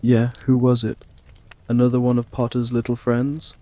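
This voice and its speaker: A man's voice: medium pitch, completely flat delivery, normal speed, warm tone, lightly acted. A man voicing a scornful schoolboy henchman in a roleplay audio story, on slightly muffled audio. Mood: eager, scornful.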